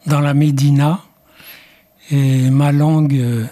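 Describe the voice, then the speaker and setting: A man's voice: on the high side, fairly even intonation, dark tone. An older man, a psychoanalyst with Arabic as his first language, answering radio interview questions in a reflective, hesitant way, from Morocco. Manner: slow, reflective, with hesitant restarts. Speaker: psychoanalyst guest.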